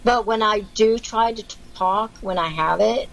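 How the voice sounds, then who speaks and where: A woman's voice: mid-range voice, normal speed, gravelly, muffled recording. Rambling woman guest on a video call. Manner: rambling, repeats herself, filler-heavy. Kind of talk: casual interview talk.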